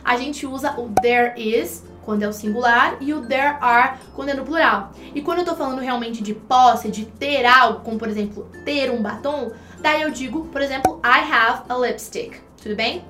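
A woman's voice: high-pitched, moderate pace, few pauses, roomy sound, music in the background. A Brazilian woman co-hosting an online English lesson, explaining step by step with examples in a conversational way. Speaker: English teacher and co-host.

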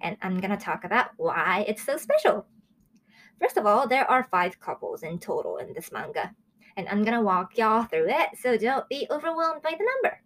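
Woman, early twenties, from Japan, casual show host, chatty, exclamatory, animated intonation. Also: medium pitch, normal speed. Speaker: host of a manga review show. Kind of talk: casual solo review chat.

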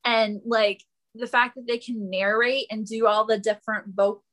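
A woman's voice: a little high, steady tone, moderate pace, fairly bright tone, poor audio. A woman guest on a podcast, chatting in an enthusiastic, informal way. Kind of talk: casual chat.

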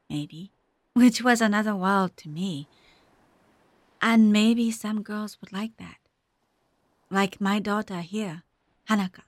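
A woman's voice: medium pitch, no room sound. An actress playing an earnest, dutiful woman who tells her story reflectively and hesitantly in an audio drama monologue. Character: adult Japanese daughter recalling her diver mother, earnest, dutiful.

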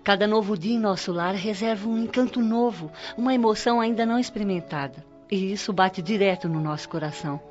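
An actress playing a gracious, sensitive woman hosting a guest, speaking gently and sentimentally with a Brazilian accent in a radio drama. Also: mid-range voice, a little fast, few pauses, close to an everyday voice. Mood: gently moved. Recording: dull radio-drama recording with music.

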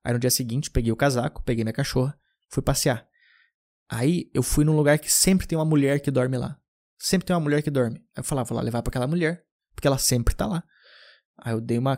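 A man's voice: medium pitch, fast talker, darkish tone. A young man from southern Brazil hosting a podcast, telling loose, rambling anecdotes in casual chat with frequent restarts. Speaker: podcast host.

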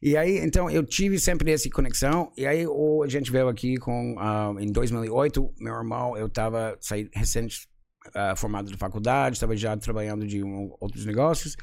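A man's voice: medium pitch, moderate pace, gravelly. American man chatting in Portuguese. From United States. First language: English. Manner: relaxed, frequent "sabe?" tags, laughs often. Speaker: guest. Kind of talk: casual conversation.

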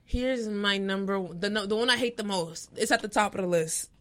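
A young woman co-hosting a casual chat, animated and often breaking into laughter as she mimics other people. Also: medium pitch, moderate pace. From Haiti. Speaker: podcast co-host.

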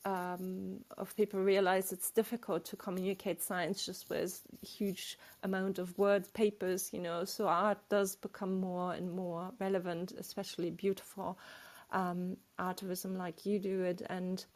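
A woman's voice: on the low side, level tone, a little slow, darkish tone. A woman hosting an online artists' panel over a video call, full of effusive praise for her guests. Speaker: chat moderator.

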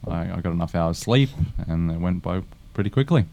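A man co-hosting a gym podcast, speaking in a hesitant, halting way with many ums. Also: sits low, expressive, vocal fry, warm tone. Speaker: gym co-founder and co-host. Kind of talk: casual podcast talk.

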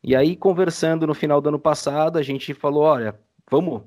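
A man's voice: on the high side, fairly even intonation, a little fast, a little mellow. A Brazilian man chatting casually as a guest in a podcast interview. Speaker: podcast guest, audio drama creator.

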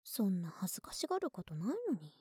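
A woman playing an affectionate, slightly clumsy young neighbor with a big-sister air in an ASMR voice drama, speaking softly and intimately, often in a whisper. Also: fairly low pitch, very animated, quick pace, dry recording, clean studio sound, full character voice. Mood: cozy, coaxing. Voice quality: breathy. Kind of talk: Japanese ASMR situation voice drama.

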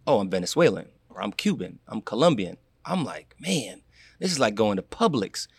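A young man from Florida, a guest in a casual interview, telling animated stories and acting out what people said. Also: fairly low pitch, moderate pace, creaky, slightly rough, dry recording, clean studio sound. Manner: animated storyteller, acts out quotes, laughs. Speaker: guest, former college athlete.

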